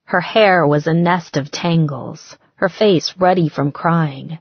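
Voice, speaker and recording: A woman narrating a romance audiobook in an expressive, measured storytelling manner. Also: sits low, husky, no room sound, lo-fi sound. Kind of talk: scripted romance fiction narration.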